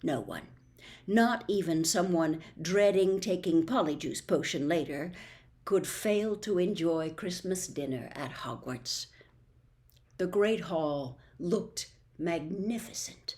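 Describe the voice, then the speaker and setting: A woman reading a children's novel aloud as an expressive storyteller. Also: lowish voice, slow pace. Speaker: audiobook reader.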